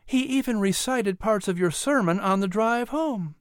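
A man voicing a proud, gracious colonial mother who speaks warmly to her son and guests. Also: high-pitched, normal speed, clear, resonant tone, no echo, clean studio sound, pitched above the performer's own voice, lightly acted.

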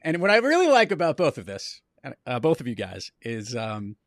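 An upbeat male podcast host asks his guests questions and cheers them on, his voice swinging from low murmurs to high bursts. The sound is dry and close, with no room echo. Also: huge pitch swings, normal speed. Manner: upbeat, encouraging interviewer with big swings in pitch and loudness. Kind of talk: interview questions.

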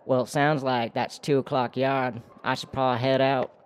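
A man playing a calm, matter-of-fact prison tattooist in a comedy audio drama, speaking in a dry, understated way. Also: medium pitch, moderate pace, slight background noise, natural voice.

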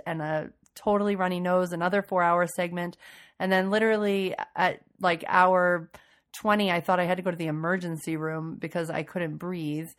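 A woman hosting a casual podcast intro, raspy from getting over a cold, chatty and enthusiastic. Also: on the low side. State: recovering from a cold. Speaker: podcast host.

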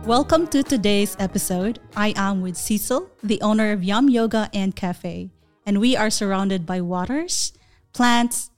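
A woman's voice: medium pitch, a little slow, faint music behind. A woman hosting a podcast, reading an upbeat scripted intro and then chatting in a welcoming way with her guest. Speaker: podcast host.

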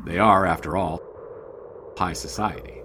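An actor playing a wry, wordy 1920s sports writer in an audio drama, dryly sardonic in both narration and dialogue. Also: male voice, low-pitched, fairly even intonation, unhurried, very noisy. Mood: wry, observant. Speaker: narrator and lead character.

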